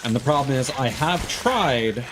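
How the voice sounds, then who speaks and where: A man live streaming a game, thinking aloud in casual, halting, muttering talk with some swearing. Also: mid-range voice, lively intonation, background noise.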